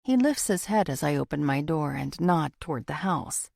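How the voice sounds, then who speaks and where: Low, creaky-voiced woman narrating an audiobook. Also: animated, moderate pace, darkish tone, no echo, studio quality. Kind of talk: scripted first-person thriller audiobook narration.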